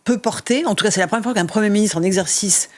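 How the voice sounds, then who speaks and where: A man hosting a TV and radio talk show, introducing topics and questioning his guest briskly, with frequent fillers. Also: sits high. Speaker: host.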